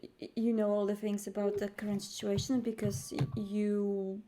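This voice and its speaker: A woman voicing a cautious, practical vampire in a tabletop roleplay, speaking politely and haltingly. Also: medium pitch, flat delivery, warm tone, a little hiss or hum, lightly acted. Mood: hesitant. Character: cautious, practical female vampire coterie member.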